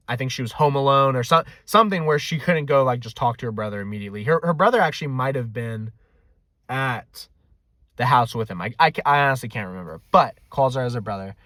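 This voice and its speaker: Man, video host, casual rambling storyteller, self-deprecating, sweary, mid-pitched, dry recording. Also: sharp tone, no room sound.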